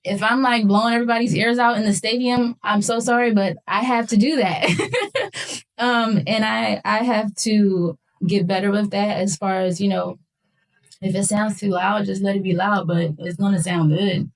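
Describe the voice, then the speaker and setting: A young woman from West Philadelphia chats easily about her craft. Her delivery is relaxed, with plenty of fillers, at a middling pitch. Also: moderate pace, poor audio. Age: 20s. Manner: relaxed, lots of fillers like um and you know. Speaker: guest singer. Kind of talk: casual conversation.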